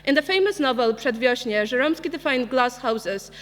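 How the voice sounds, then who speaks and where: A woman's voice: high voice, animated, moderate pace, a bit bright. A woman with a Polish accent giving an impassioned, wry debate speech. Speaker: debate speaker. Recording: reverberant debating chamber.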